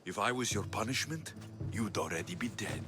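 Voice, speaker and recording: An actor playing a tough, cocky young Greek mercenary in a video game, terse and confident. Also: male voice, on the low side, lively intonation, moderate pace, over music, close to an everyday voice. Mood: defiant, dry. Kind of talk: dramatic video game dialogue.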